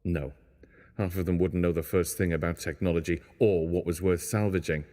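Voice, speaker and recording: A man's voice: deep voice, fairly even intonation, moderate pace, mellow, close to an everyday voice. A man playing a weary, pessimistic stranded engineer in an audio drama, talking in a grave way. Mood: resigned. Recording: long hollow reverb.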